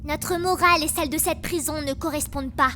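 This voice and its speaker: A woman's voice: sits high, fairly even intonation, a little fast, sharp tone, a little hiss or hum. A woman voicing a devout, righteous twelve-year-old girl prisoner, prim and formal, in a French anime fan dub. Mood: cold, threatening. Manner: crisp, formal, self-righteous.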